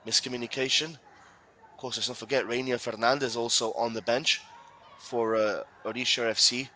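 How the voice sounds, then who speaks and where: A man's voice: mid-range voice, steady tone, normal speed, sharp tone, a little hiss or hum. A man from Gibraltar doing live play-by-play football commentary, chatty and reading out viewer questions.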